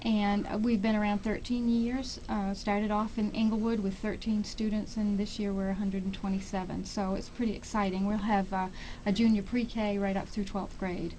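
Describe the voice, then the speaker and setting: A middle-aged woman speaking as an interview guest on radio, explaining things conversationally and a little haltingly. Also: medium pitch, level tone, dark tone, slight background noise, low-quality recording. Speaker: school representative, interview guest.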